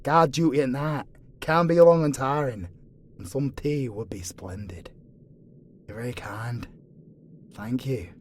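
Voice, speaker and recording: A man's voice: medium pitch, slight background noise, natural voice. A man playing a dutiful, prejudiced angel dungeon guard with a formal, sanctimonious manner, in a fantasy audio roleplay. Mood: polite, pleased.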